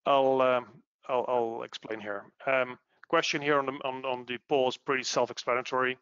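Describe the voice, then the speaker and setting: A man explaining business material in a webinar in a measured, halting way over an online call. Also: medium pitch, steady tone, a bit bright, clear, resonant tone, dry recording. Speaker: webinar presenter, tax expert. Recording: dull lo-fi online audio.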